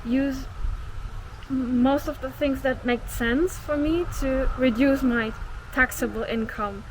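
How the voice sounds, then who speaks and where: A woman's voice: sits high, steady tone, slow pace, a little hiss or hum, poor audio. A woman hosting a casual conversation, curious and asking follow-up questions. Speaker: host.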